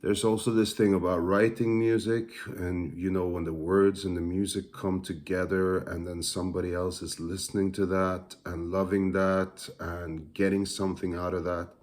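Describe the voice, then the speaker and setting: A man with a Norwegian accent, a musician, teacher and father, thinking aloud in a casual, hesitant monologue full of pauses and fillers. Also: low-pitched, little pitch movement, darkish tone. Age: adult father.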